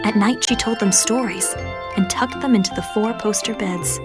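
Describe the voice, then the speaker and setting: A woman narrating a children's storybook in an expressive, measured read-aloud manner. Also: medium pitch. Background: music.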